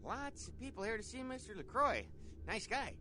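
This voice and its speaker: A man's voice: extremely high pitch, very animated, moderate pace, sharp tone, a little hiss or hum, exaggerated voice. A man voicing an eager, deferential security guard in a video game, rambling in a folksy, hesitant way. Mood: eager, chatty. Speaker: lobby guard. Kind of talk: video game character acting.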